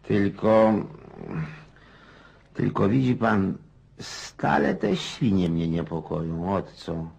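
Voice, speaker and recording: An actor playing a garrulous, restless old Russian country landowner in a radio drama, rambling in a folksy way. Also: male voice, low-pitched, slow, gravelly, long pauses, darkish tone, slightly rough, full character voice. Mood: musing, confiding. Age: older. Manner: rambling, folksy, muses aloud.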